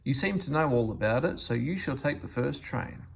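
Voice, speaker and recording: Man playing a brisk little railway boss, creaky. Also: mid-range voice, expressive, slight background noise. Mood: brisk, approving. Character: brisk, encouraging small male railway boss.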